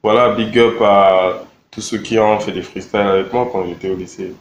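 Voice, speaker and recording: A young man from Congo answering interview questions in a relaxed, halting way, with many fillers and repeated words. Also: fairly low pitch, noisy background. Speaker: rapper interviewed. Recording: echoey room with faint ambience.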